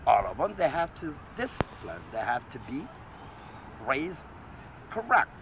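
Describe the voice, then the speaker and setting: Agitated moroccan guy rambling on the street, kinda high voice, loud traffic behind him. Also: wildly swooping pitch, measured pace. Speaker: street interviewee. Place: city street outdoors.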